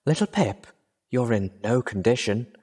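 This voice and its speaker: Storybook medic: caring adult mare, lifted pitch, soft and weary, worried for her friend , acted by a man. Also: animated, a little slow, slightly rough. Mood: worried, sorrowful. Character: gentle, worried female unicorn pony medic.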